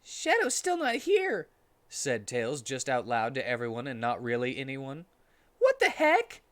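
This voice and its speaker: A man voicing an excitable young cartoon fox who screams his outrage in a comic dramatic reading. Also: mid-range voice, measured pace. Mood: exasperated. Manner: screaming outbursts.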